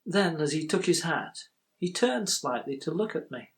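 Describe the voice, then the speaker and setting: A loyal Victorian bank clerk in a period stage monologue. He tells his tale with measured gravity and tender feeling, in a dramatic storyteller's delivery. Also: fairly high pitch, lively intonation, gravelly. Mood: sorrowful, tender.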